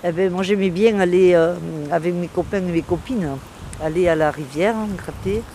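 A woman's voice: fairly low pitch, normal speed, dark tone. An older woman from southern France, interviewed outdoors in her village, recalls her childhood hesitantly and fondly, with frequent fillers. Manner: hesitant, fond, many fillers and "voilà". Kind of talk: street interview reminiscing.

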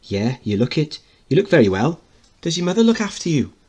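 Guy reading a play as a gentle working class mum, raised husky voice, hushing and comforting. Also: on the high side, sing-song, brisk, voice pushed up. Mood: concerned, kind. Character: warm working-class mother comforting a child.

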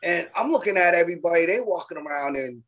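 A man's voice: fairly high pitch, animated, fast, a bit bright. A middle-aged man co-hosting a podcast over a video call, laid-back and chatty, often saying "you know what I'm saying" and "man.". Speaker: podcast co-host. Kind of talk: casual interview chat. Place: indoor room with virtual backdrop. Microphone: webcam on a video call.

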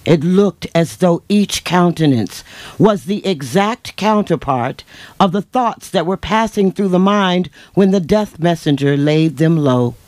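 Guy reading a book out dramatically, high voice, slow and solemn. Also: expressive, a little mellow, no echo. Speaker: reader in a staged book reading. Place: bookshop event before a seated audience.

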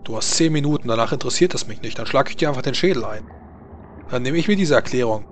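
A man's voice: mid-range voice, expressive, fast, a little hiss or hum, natural voice. A man voicing a suspicious, foul-mouthed palace guard captain in a tabletop game, threatening and sarcastic.

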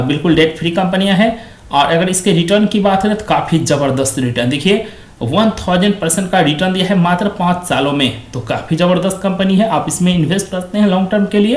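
A man's voice: sits high, roomy sound. A man hosting a stock market video, talking through company figures on a shared screen in a conversational way that keeps repeating the same stock praise phrases.

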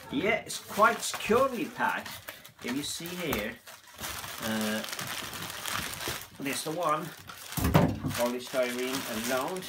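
A man presenting an informal hands-on unboxing in a hesitant, halting way, speaking non-native English in a room at home. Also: medium pitch. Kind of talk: informal hands-on product demonstration. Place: small room at home, indoors. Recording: noticeable background noise, handling clicks.

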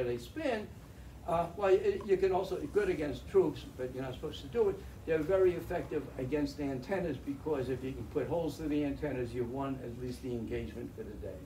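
An older man gives a chatty, wry talk over slides, his voice a bit high but soft and mellow. He is recorded from the back of a roomy meeting hall, distant, with a faint hiss behind. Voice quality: chatty, wry storyteller with restarts. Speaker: guest speaker, veteran pilot. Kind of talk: slide lecture to an audience. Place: meeting room with projector screen. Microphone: far camera in the audience.

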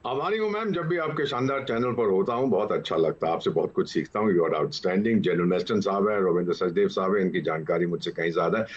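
Male ex-diplomat, seventies, husky, Indian accent, courtly manner, dull lo-fi video-call audio. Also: low voice, quick pace, few pauses. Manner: courtly, addresses host with deference. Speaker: former diplomat, panel guest. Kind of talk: panel commentary. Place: at home.

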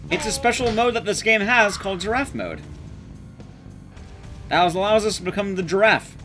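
A man's voice: high-pitched, expressive, normal speed, sharp tone, soft background music. A man in his thirties giving casual, joking live game commentary and chatting with his viewers. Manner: casual, joking, swears mildly. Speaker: streamer and speedrunner. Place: small indoor room at home, streaming.

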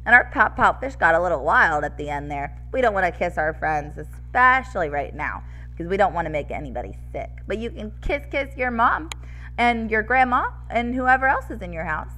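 Bright-voiced young woman reading to preschoolers. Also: mid-range voice, a little fast, soft background music. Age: young adult. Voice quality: slightly scratchy. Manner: animated, addressing small children, sing-song rhyme reading. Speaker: preschool teacher. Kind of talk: read-aloud for preschoolers. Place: classroom-style room with decorated wall, indoors. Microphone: headset microphone.

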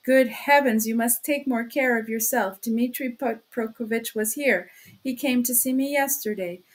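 A woman's voice: on the high side, normal speed, lightly acted. A woman voicing a sly, fussing male police investigator in an audiobook, wheedling and chattering as he repeats himself. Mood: solicitous, agitated. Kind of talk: audiobook character acting.

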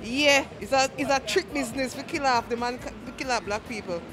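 A woman's voice: high-pitched, fairly quick, music in the background, a crowd in the background. A woman with a Jamaican accent, answering on a busy city street into a handheld mic in firm, short replies. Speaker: street interviewee. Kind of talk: casual street chat. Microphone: handheld mic held by interviewer.

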